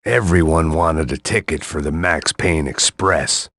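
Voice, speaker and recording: A burnt-out ex-cop in a game, very deep husky voice, sardonic noir narration, dead-dry studio sound. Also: male voice, unhurried, fairly bright tone, no room sound, full character voice. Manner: dry, sardonic, world-weary. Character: weary cynical middle-aged male ex-cop.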